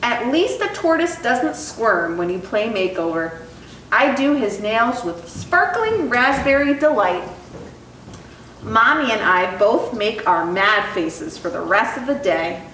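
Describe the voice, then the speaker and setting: Woman doing kids storytime, unhurried, really sing-song pitch swings, echoey room w mic a bit far. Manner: animated storytelling. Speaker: storytime reader. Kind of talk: expressive children's picture book read-aloud. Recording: roomy echo, distant mic, faint noise.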